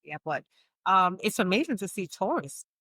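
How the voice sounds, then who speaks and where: A woman's voice: medium pitch, big pitch swings, moderate pace, bright, forceful tone, slightly rough, no room sound, clean studio sound. A woman, a fitness coach telling her life story as a podcast guest, laughing often. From Jamaica. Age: 60s. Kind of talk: podcast interview.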